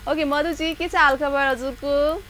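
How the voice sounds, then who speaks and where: High-voiced woman hosting a phone-in, slightly congested. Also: steady tone, measured pace, fairly bright tone, slight background noise. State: congested from a cold. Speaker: TV call-in show host. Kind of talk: live phone-in chat with callers.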